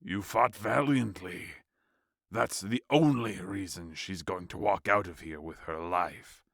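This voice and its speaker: Casting: male actor for cold, contemptuous king, low creaky voice with a hard edge, unhurried, audio drama villain. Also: a bit bright, no echo, studio quality, exaggerated voice. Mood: cold, commanding. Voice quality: gravelly. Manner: slow, disdainful. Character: arrogant, cruel warlord king, cold and contemptuous. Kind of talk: audio play acting.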